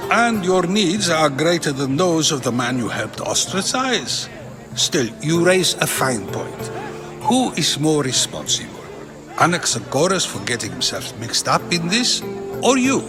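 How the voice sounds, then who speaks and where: An actor playing a probing, eloquent ancient Greek philosopher in a video game, measured and questioning. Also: male voice, a little high, big pitch swings, over music. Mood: gently reproachful. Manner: musing, questioning, measured phrasing. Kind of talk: video game cutscene acting.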